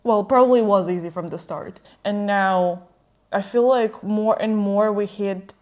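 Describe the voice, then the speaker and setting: A woman's voice: mid-range voice, measured pace, darkish tone. A woman from Ukraine, a native Ukrainian speaker with an American-sounding accent, hosting a casual chat with her partner. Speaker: podcast host.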